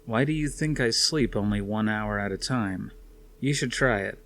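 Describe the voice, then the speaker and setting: A man voicing a calm, self-assured, weary male scientist in a storybook reading, dry and matter-of-fact. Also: lowish voice, normal speed.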